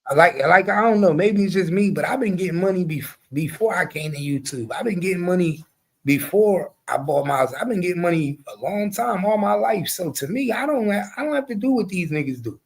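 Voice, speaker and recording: A man's voice: high-pitched, brisk, husky. A man, hosting a casual chat and telling stories bluntly and with lots of profanity. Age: 40s. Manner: blunt, profane, animated storytelling. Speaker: show host.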